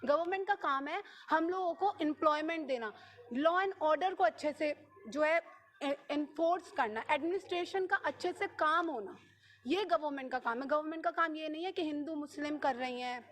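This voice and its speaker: A woman's voice: high-pitched, normal speed, a bit bright. A woman in her twenties with a North Indian accent, speaking insistently as a local resident in a spontaneous, impassioned street interview, mixing in English words. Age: 20s. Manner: insistent, repetitive, mixes in many English words. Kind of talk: impassioned spontaneous vox pop.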